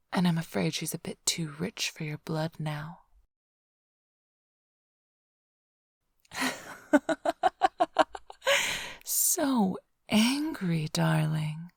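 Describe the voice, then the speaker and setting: A woman performs a female vampire thief for an ASMR roleplay, smug and flirtatious. Her voice is lowish and very breathy, often dropping into a whisper. She teases the listener in an unhurried drawl, recorded close and dry. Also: dry recording, full character voice. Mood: teasing, smug. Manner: teasing, languid, mock-affectionate drawl.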